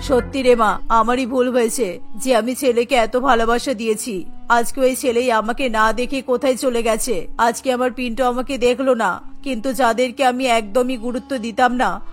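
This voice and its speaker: A woman's voice: a little high, pitched below the performer's own voice, lightly acted. A woman voicing a sick, remorseful elderly mother in a cartoon, plaintive and pleading. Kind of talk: Bengali cartoon character voice.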